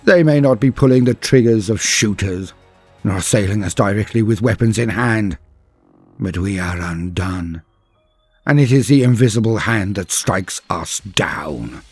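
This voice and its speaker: Male narrator as embittered warrior marine: deep, rough-edged, declamatory, solemn sci-fi storytelling over faint music. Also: animated, darkish tone, no echo, exaggerated voice. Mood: grim, proud. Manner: grave, declamatory, deliberate phrasing. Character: betrayed, bitter, proud male space marine warrior. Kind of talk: dramatic first-person story narration.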